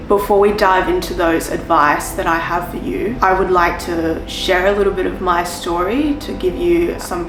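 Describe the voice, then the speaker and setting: A young woman with an Australian accent, hosting a personal development vlog and speaking in an earnest, reflective, confessional way. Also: fairly low pitch. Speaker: YouTube channel host. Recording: roomy sound with background music.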